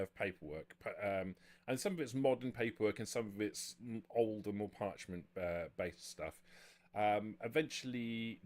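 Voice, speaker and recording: A man acting as game master, improvising narration and rulings with a hesitant, self-correcting delivery. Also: lowish voice.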